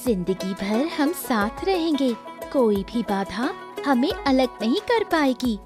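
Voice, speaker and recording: Actress as cheerful young princess, slightly high pitch, affectionate, eager, cartoon drama. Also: female voice, big pitch swings, slow, over music. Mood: blissful. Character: happy, romantic young royal woman. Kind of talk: animated moral story dramatization.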